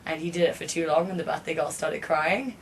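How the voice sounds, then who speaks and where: A woman's voice: sits low, a little fast. A young woman from Hong Kong, a guest on a podcast, chatting casually about her work as a party entertainer with frequent laughs and "like" fillers. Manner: giggly, lots of "like" fillers. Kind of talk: casual chat. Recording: distant mic with faint room noise.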